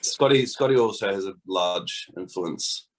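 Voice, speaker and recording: A young man with a low, husky voice talks loosely and jokily. He is a guitarist in an interview, rambling a little as he explains himself. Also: unhurried, rough recording. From New Zealand. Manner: joking, casual, rambling. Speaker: guitarist in a band. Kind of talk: casual interview chat.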